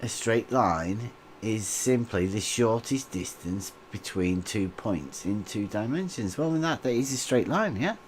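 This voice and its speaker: A man's voice: lowish voice, animated, a little slow, few pauses, slight background noise. A man co-hosting a livestream, chatting casually and chuckling as he reads out viewer comments. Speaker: livestream co-host.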